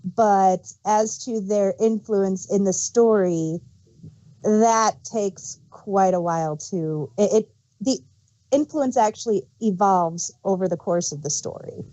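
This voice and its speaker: A woman co-hosting a casual review podcast, speaking in a halting way and often restarting her sentences. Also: medium pitch, mellow, dry recording. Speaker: podcast co-host reviewing audio dramas. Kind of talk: casual unscripted chat. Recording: dull, dry podcast microphone.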